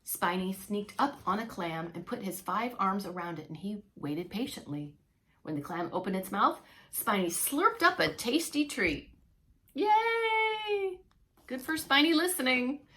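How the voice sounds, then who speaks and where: A woman reading a story aloud to young children as a preschool teacher, expressive, with playful asides. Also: medium pitch, moderate pace. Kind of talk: animated read-along children's storytelling.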